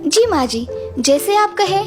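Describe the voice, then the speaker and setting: Woman playing a very high-voiced, sweet young daughter-in-law. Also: lively intonation, measured pace, over music. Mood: sweetly polite. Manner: polite, deferential. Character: clever, dutiful young Indian daughter-in-law, sweetly polite. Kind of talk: cartoon moral-story voice acting.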